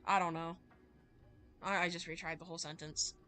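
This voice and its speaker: Low-voiced woman streamer reading over music. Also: level tone, brisk. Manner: dramatic narration broken by playful asides. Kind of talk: reading a visual novel aloud on livestream. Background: prominent music with plucked guitar.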